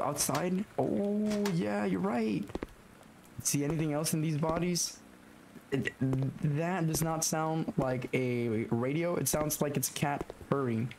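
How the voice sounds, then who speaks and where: A young man with a hoarse, raspy voice from being sick, talking through a horror game and reacting to scares. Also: medium pitch, noisy background. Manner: jumpy, swearing, talks to himself while playing. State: hoarse from illness. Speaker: gaming YouTuber. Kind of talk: horror game let's play commentary. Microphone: headset.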